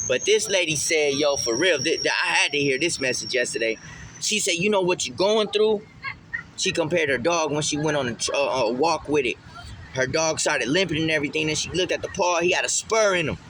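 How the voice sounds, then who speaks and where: Guy doing a casual spiritual vlog, sharp highish voice, street slang, repeats himself to hit the point, bit of rumbly background noise. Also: moderate pace, rough recording. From Puerto Rican heritage. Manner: emphatic, street slang, repeats phrases for emphasis. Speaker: vlogger.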